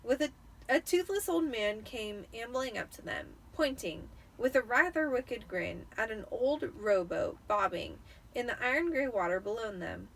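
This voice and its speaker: A tipsy woman reading a story aloud in an expressive, dramatic audiobook style, with the occasional stumble. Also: a little high, a little slow, creaky, rough recording. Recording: noticeable background noise.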